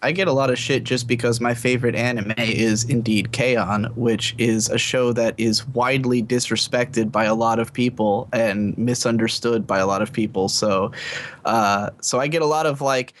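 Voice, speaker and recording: A man's voice: mid-range voice, a bit bright, a little hiss or hum. A man talking casually on a podcast in a self-assured, opinionated, rambling way. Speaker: podcast guest, anime reviewer.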